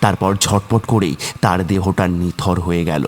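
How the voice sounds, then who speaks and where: A man's voice: sits low, normal speed, slight background noise. A man narrating a scripted horror story in a dramatic, suspenseful way.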